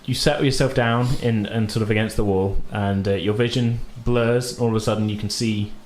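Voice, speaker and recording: A man's voice: lowish voice, steady tone, a little fast, a little hiss or hum. A man narrating a tabletop role-playing game as game master, conversational, loosely describing things as he goes. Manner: conversational, hedging descriptions with "kind of" and "sort of".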